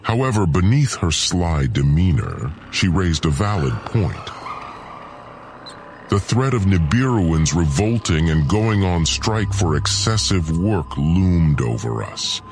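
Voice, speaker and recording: A man giving scripted first-person dramatic narration in a solemn, measured, formal manner. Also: low-pitched, very animated, darkish tone, slight background noise. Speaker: narrator and audio drama creator.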